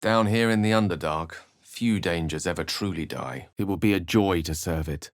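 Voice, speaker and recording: A man acting as a refined, scholarly video game wizard in middle age. He phrases things ornately and with wry precision, theatrical yet measured. The recording is close and dry. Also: low voice, no room sound, lightly acted. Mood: solemn, reflective. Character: eloquent, refined adult male wizard companion, wry and scholarly.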